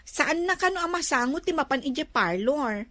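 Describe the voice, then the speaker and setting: A woman's voice: high voice, animated, moderate pace, pushed and bright, no echo. A woman with an Ilocano accent acting a vain, giggly younger village beautician in a comedy skit. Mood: coy, confident.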